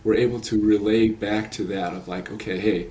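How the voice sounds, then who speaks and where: A man's voice: fairly low pitch, fairly even intonation, normal speed, mellow, slight background noise. A man in his late thirties, a coach talking as a podcast guest, earnest and rambling with many fillers and restarts. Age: 30s. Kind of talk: podcast interview.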